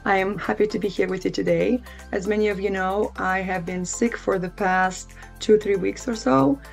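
A woman in her thirties, hoarse from recent laryngitis, explaining engineering exam material in a steady, methodical lesson. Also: mid-range voice, moderate pace, faint music behind. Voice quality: gravelly voice. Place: small indoor room with a plant.

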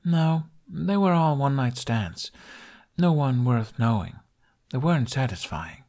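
Man voicing a brash young woman, pitched up. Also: very animated, a little slow, a little mellow. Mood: wistful. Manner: bold, theatrical, coaxing. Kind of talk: audiobook character voice.

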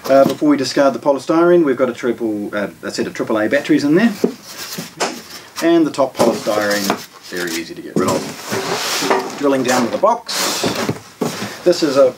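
A man in his forties with a New Zealand accent, giving an unscripted product demonstration in a measured, matter-of-fact way with short, broken phrases. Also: mid-range voice, animated. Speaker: shop presenter of product unboxings. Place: carpeted indoor showroom room with shelves. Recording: distant microphone, clear background noise, handling clicks.

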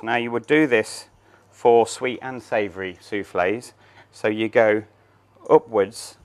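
A man in his thirties gives a cooking demonstration, his voice sitting somewhat low. He explains each step plainly while he works, picked up on a clip-on mic in a large showroom kitchen. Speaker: chef.

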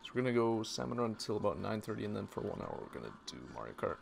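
A man streaming a game, hoarse and losing his voice, chatting casually and answering his viewers. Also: fairly low pitch, very animated, very fast, noisy background. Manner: reads chat aloud and answers viewers. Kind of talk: casual live-stream commentary.

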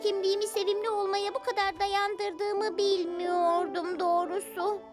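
A woman voicing a small, cute superhero girl with a dark streak in a Turkish cartoon dub, speaking dramatically. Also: very high-pitched, little pitch movement, loud music behind the voice. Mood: sincere, sweet. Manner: dramatic, deliberate delivery.

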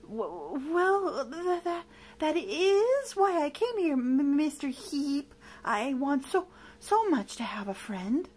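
A woman voicing a timid, lonely, gentle monster in a storybook, plaintive and hesitant. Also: fairly high pitch, very animated, normal speed. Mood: frightened, pleading.

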